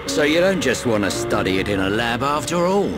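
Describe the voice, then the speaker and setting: Man acting gruff, animated mentor warrior. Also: mid-range voice, brisk, over music, natural voice. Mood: matter-of-fact. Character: gruff older male mentor, secretly deceitful warrior. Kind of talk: video game cutscene acting.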